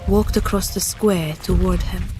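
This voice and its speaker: A woman narrates a fantasy story at a slow, deliberate pace. Her voice sits slightly low, with music playing softly behind her. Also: steady tone.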